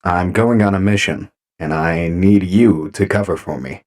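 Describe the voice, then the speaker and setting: An actor playing a calm, courteous robot commander in an audio drama, measured in manner. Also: male voice, low voice. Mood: earnest, friendly.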